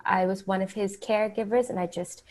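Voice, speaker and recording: A woman from the Bahamas, speaking as an interview guest and telling stories in a casual, animated way. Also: mid-range voice, brisk.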